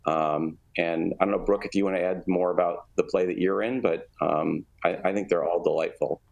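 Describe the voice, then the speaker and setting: A man with a deep voice and noticeable gravelly fry gives explanatory interview answers. He phrases things in a measured, halting way, like an arts director laying out details. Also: fairly even intonation, darkish tone, dull audio. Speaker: theatre artistic director, interview guest.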